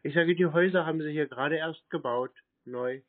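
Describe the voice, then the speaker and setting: A man in a casual phone conversation as a customer, giving short, deadpan answers over a phone line. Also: fairly high pitch, a little fast. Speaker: prank caller posing as customer. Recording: tinny narrowband phone line.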